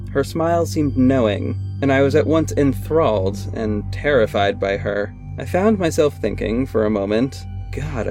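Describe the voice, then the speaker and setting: An actor playing a dry, sarcastic young private investigator and vigilante, narrating an audio drama in first person. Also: male voice, mid-range voice, darkish tone, close to an everyday voice.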